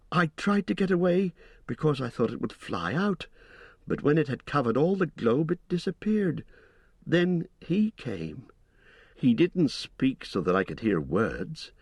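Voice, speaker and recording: A shaken young hobbit, put on by a male narrator, high and shrill, stammering as he pleads. The voice is pushed well above a man's usual pitch and sounds close and dry. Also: darkish tone, no echo.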